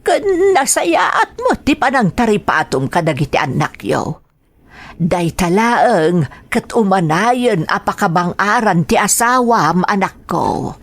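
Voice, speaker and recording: Woman acting a slow, creaky-voiced older Ilocano mother. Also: medium pitch, sing-song, heavily acted. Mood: concerned, gentle. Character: caring older mother advising her grown son. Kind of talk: Ilocano audio drama acting.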